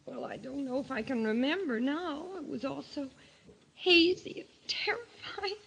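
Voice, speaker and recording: A woman playing a frightened, fretful young wife in an old-time radio drama, breathless, halting and melodramatic. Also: high voice, gravelly, a bit bright, a little hiss or hum. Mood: anxious.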